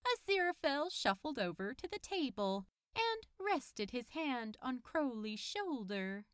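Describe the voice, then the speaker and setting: A woman reading fan fiction aloud as narrator, in an expressive storytelling style. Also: sits high, unhurried, clean tone, no echo, clean studio sound.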